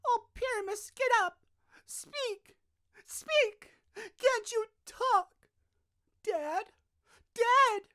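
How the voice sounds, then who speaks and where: A woman's voice: very high voice, bright, forceful tone, full character voice. A woman playing an overwrought, grieving young female lover in a Shakespeare audio drama, wailing and drawing out the words. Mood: shocked grief. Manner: overwrought, wailing, drawn-out delivery. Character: amateur player as Thisbe, melodramatic young female lover in mourning.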